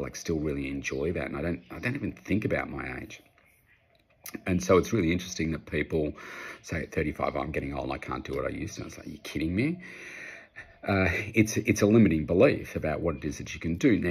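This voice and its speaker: Very deep, gravelly Australian man. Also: a little mellow. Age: 50s. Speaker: personal trainer answering audience questions. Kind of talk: casual unscripted advice talk.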